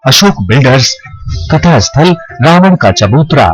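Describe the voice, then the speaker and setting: A man's voice: on the high side, expressive, unhurried, low-quality recording. A man reading a scripted TV promo voice-over in a formal, declamatory way. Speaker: TV promo announcer. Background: loud music.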